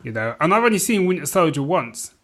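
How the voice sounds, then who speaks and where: A man's voice: fairly high pitch, sing-song, fairly quick, a bit bright. A man co-hosting a podcast, chatting casually about films in a rambling, conversational way. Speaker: podcast co-host. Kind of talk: casual film chat.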